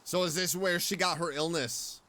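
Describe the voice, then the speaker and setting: A man's voice: on the high side, fairly even intonation, moderate pace. A man giving casual gameplay reaction commentary as a streamer. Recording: commentary over loud game music.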